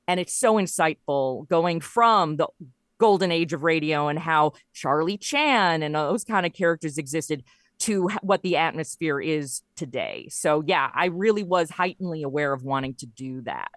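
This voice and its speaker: A woman's voice: sits low, animated, normal speed, no echo, clean studio sound. A woman chatting casually as an interview guest, explaining her creative work in a talkative storytelling way. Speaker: interview guest, audio drama writer and producer.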